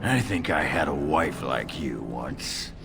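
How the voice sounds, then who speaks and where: An actor voicing a gruff, belligerent drunken dwarf warrior in a video game, coarse and blunt. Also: male voice, rumbling low, lively intonation, slow, heavily acted. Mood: sardonic. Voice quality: gravelly. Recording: faint music behind.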